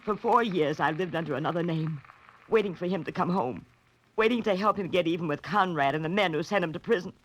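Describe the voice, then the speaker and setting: A woman's voice: fairly low pitch, fairly quick, lightly acted. A woman playing a guarded, desperate woman in her thirties in a 1940s radio melodrama, with a dramatic delivery. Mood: resigned, confessing. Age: 30s. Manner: dramatic, haughty turning to pleading. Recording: faintly noisy vintage 1940s radio recording.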